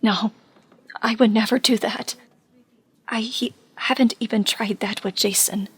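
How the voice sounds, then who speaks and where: A grieving young heroine of a superhero audio drama, acted by a woman. The voice sits a little high with a breathy, husky edge. The delivery is earnest and hesitant. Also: fairly even intonation, measured pace, a bit bright, exaggerated voice. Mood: wary.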